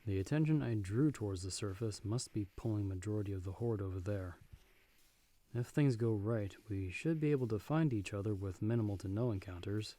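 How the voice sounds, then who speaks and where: Low, dark-voiced calm monster hunter played by a man. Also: a little fast, natural voice. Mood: calm, explanatory. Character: calm, seasoned male monster hunter with magic, guiding a partner. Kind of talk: ASMR audio roleplay acting, speaking to the listener.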